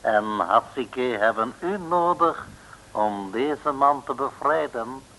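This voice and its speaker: An actor playing a sly, cringingly polite foreign villain in an old radio serial, talking in broken, formal phrases. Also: male voice, mid-range voice, takes it slowly, full character voice. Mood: sly, flattering. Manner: broken grammar, self-abasing flattery, formal address. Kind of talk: 1960s radio serial acting. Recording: noisy old radio recording.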